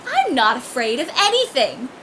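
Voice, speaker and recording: A woman playing a high-voiced, lively teenage girl. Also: normal speed, background noise. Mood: eager, chatty. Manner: eager, frank, chatty. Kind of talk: audio play acting.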